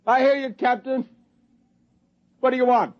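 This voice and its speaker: An actor playing a bitter, haunted rebel astronaut with brooding, defiant intensity in 1950s radio drama style. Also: male voice, very high-pitched, steady tone, measured pace. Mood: strained shouting. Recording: dull, hissy 1950s radio recording.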